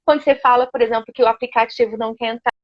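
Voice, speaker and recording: A woman with a somewhat high voice talks reflectively, often pausing on fillers as she works out her point. The sound is dull and lo-fi. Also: steady tone. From Brazil. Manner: reflective, hesitant with frequent fillers. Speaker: interviewer. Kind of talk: conversational interview.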